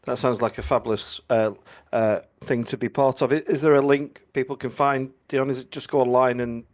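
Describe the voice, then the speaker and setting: A man's voice: medium pitch, steady tone, brisk, darkish tone. A man, a priest, hosting a podcast interview in a friendly way with hesitations and repeated words.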